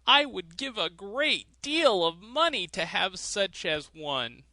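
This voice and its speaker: A man's voice: sits high, very animated, slow, pushed and bright, no room sound, voice pushed up, full character voice. A man voicing a desperate old miser in a fairy tale, wailing and pleading. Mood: greedy admiration. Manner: wailing, begging.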